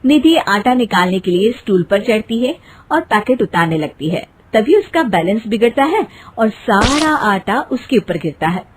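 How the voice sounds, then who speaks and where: A woman's voice: a little high, sing-song, moderate pace, a little hiss or hum. A woman narrator telling a scripted cartoon family story in the present tense.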